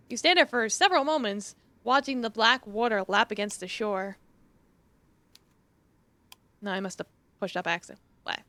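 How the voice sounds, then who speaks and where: Female game streamer, expressive narrator with chatty asides, highish and very bright tone. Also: no echo. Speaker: live streamer. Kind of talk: dramatic read-aloud of visual novel with casual asides.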